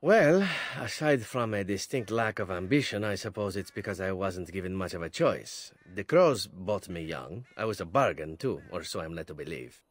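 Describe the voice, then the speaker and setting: A roguish male elven assassin from a fantasy game, low-voiced. His lines swing melodically, suave and wry, in a close, dry recording. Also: animated, moderate pace, few pauses, no room sound. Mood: wry, candid. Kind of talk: video game character dialogue.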